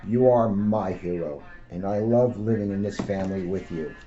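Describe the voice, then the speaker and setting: A man's voice: fairly low pitch, normal speed. A middle-aged American man, a school police officer, reading aloud and talking informally to schoolchildren. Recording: dull lo-fi audio with faint noise.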